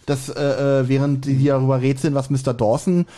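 A man's voice: medium pitch, level tone, fairly quick, warm tone. A man, a podcast co-host chatting casually and somewhat hesitantly, thinking aloud as he discusses a story. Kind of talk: casual podcast chat discussing an audio drama episode.

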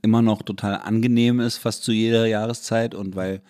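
A man's voice: on the low side, flat delivery, a little fast, warm tone. A man from Germany in casual chat, telling stories with many restarts and fillers. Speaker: conversation partner.

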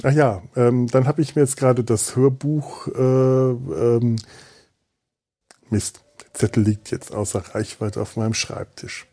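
A man hosting a solo podcast in a casual, rambling style, halting and interrupting himself with repeated words, with wry, self-deprecating humor. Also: medium pitch, steady tone, mellow. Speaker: podcast host.

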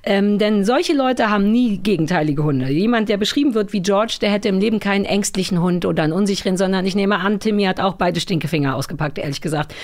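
A woman's voice: mid-range voice, fast talker, hardly any pauses. A woman chatting casually and playfully in a blunt, colloquial way. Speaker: talk show participant.

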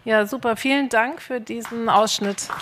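A woman's voice: on the high side, steady tone, normal speed, heavy background noise. A woman moderating a panel presentation, asking guests about their work in an appreciative way. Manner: appreciative, asks guests open questions.

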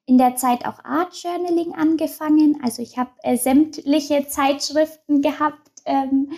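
A woman's voice: high-pitched, fairly even intonation, a little slow, dark tone. A young woman as an interview guest, talking openly and chattily with many fillers and restarts. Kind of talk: casual personal interview.

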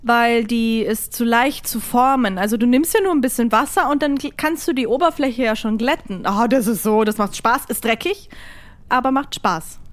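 A woman's voice: a little high, normal speed. A woman co-hosting a podcast, chatting casually in a playful way. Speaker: podcast co-host. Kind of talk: casual chat.

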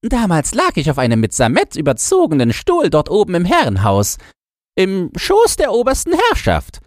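A man voicing a boastful, nostalgic old chained dog in a shrill, yapping falsetto for a storybook. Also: wildly swooping pitch, normal speed, no room sound, clean studio sound. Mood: wistful, nostalgic. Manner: knowing, exclamatory, barking.

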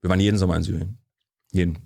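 Man, from Germany, very deep voice, chatty storyteller, frequent ums, studio interview guest. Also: flat delivery, very fast, vocal fry, mellow. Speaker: guest, sociologist. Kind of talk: relaxed interview conversation.

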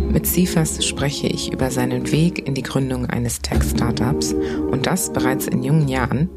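A woman hosting a podcast, introducing her guest and asking interview questions in a conversational way. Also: rumbling low. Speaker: podcast host.

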